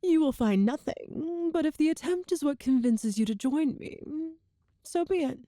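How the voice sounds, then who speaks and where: A woman playing a cruel, seductive evil empress in an ASMR roleplay drama, speaking with imperious, deliberate menace. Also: high-pitched, animated, warm tone, dry recording, clean studio sound. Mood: haughty persuasion.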